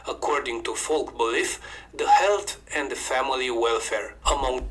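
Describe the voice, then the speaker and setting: A man's voice: medium pitch, normal speed, fairly bright tone. A man with a Romanian accent narrating a scripted video about folk customs in an upbeat presenter manner.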